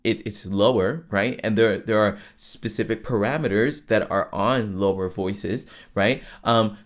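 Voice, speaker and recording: A man with a lower voice, hosting a podcast and explaining singing to listeners in a loose, chatty way, full of restarts and tag questions. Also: measured pace. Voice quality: lower voice with falsetto access. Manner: conversational, many fillers and restarts, frequent "right?". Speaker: podcast host and vocal coach. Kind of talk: casual teaching monologue.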